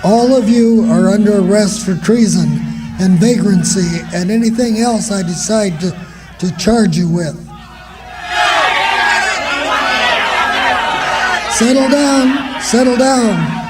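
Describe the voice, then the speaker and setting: A man's voice: high voice, unhurried, over music, crowd noise, poor audio. A man playing a threatening small-town sheriff in a radio drama, barking orders and haranguing. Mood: barking, angry.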